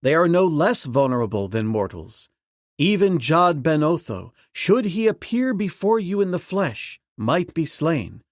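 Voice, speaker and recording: A man's voice: fairly high pitch, sing-song, dry recording, studio quality, pitched above the performer's own voice. Man reading as a grave jungle hero. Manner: measured, grave.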